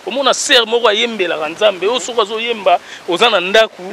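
A man, hosting an outdoor talk show with energetic, emphatic delivery into a handheld mic. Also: sits high, a little fast, vocal fry, slight background noise. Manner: energetic, emphatic, rattles off shout-outs. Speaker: host. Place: outdoor garden by a wall.

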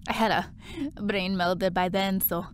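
A woman's voice: mid-range voice, slow pace, slight background noise, close to an everyday voice. A woman playing a breezy, blunt young part-time worker in an audio drama, chatty and offhand. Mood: chatty, hesitant.

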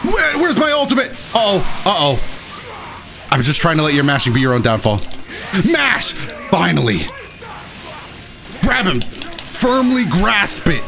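A man giving casual, excitable commentary while he plays a video game, with playful dramatic flourishes. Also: high-pitched, a little slow, vocal fry, long pauses, over music. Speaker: gaming video host and player. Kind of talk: casual live gameplay commentary.

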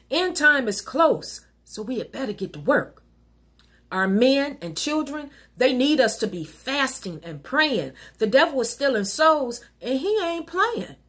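A middle-aged American woman reciting rhyming spoken-word poetry like a minister, with a deliberate, emphatic, preacherly cadence. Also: a little high, bright, forceful tone, slightly rough. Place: wood-panelled living room at home.

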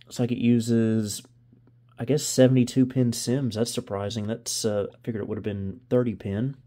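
A man's voice: mid-range voice, flat delivery, moderate pace, mellow. A man giving casual hands-on commentary as a video host, thinking aloud and often unsure. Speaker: host of a vintage computer video channel. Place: indoors in a home room.

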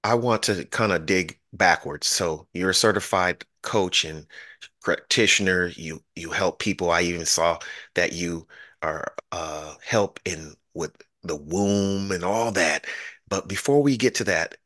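A man's voice: sits low, unhurried, sharp tone, no room sound, studio quality. A middle-aged man hosting a podcast over a video call, effusive and grateful, often repeating his words. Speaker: podcast host. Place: home studio, indoors. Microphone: studio mic on a video call.

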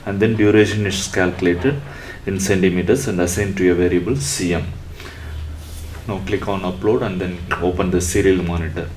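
Low-pitched man giving a halting tutorial. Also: steady tone, a little mellow, a little hiss or hum. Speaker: electronics tutorial presenter. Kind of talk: step-by-step tutorial narration.

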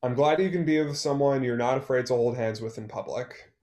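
A man's voice: medium pitch, fairly quick, darkish tone, natural voice. A man in his twenties playing a hurt, guarded, wry young man facing an old love, in a play read over a video call. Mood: resigned. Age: 20s. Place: room at home. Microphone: computer mic on a video call.

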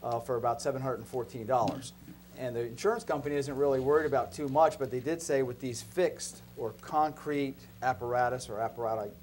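A man's voice: mid-range voice, brisk, a little mellow. Male council member, mid-range voice, discussing business in a meeting room with faint background noise.

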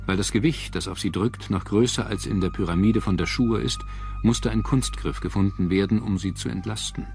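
A man's voice: on the low side, normal speed, creaky, a little mellow. A man reading scripted documentary narration as the narrator. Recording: music under the voice. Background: background music.

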